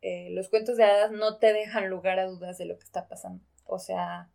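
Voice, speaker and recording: A woman's voice: medium pitch, little pitch movement, fairly quick, vocal fry, mellow. A woman co-hosting a casual discussion about literature, explaining things and picking her words carefully. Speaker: podcast co-host.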